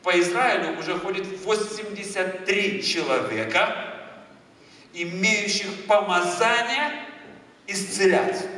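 A man in his thirties from Moldova, with a Moldovan accent, preaching a lively sermon on a church stage and moving between loud emphasis and quiet asides. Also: high voice. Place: large church hall with a stage. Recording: echoey church hall, far from the mic.

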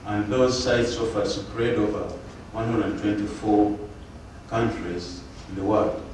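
An older man from Uganda, a native Luganda speaker, giving a formal, measured symposium address at a panel table, often pausing with fillers. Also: fairly low pitch, steady tone, darkish tone. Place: lecture hall panel table. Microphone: table mic on stand. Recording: echoey room, distant mic, background hiss.

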